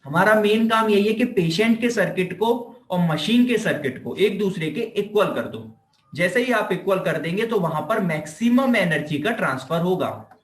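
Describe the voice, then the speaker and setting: A man's voice: high-pitched, animated, moderate pace. A man with an Indian accent giving an informal step-by-step lecture as a teacher, instructive and repetitive, speaking directly to students. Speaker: physiotherapy teacher. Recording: roomy, echoey sound.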